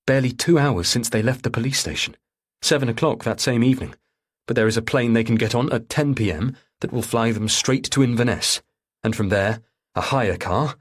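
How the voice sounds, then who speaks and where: A man's voice: medium pitch, a little fast, husky. A man reading a thriller audiobook as narrator, in a dramatic scripted style.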